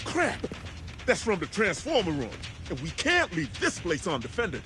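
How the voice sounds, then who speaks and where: Agitated handyman fighter, high strained pitch. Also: wildly swooping pitch, normal speed. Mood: rattled, urgent. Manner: urgent, raised voice. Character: gruff, agitated handyman fighter under siege. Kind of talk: video game combat acting. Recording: noisy gunfire battle behind the voice.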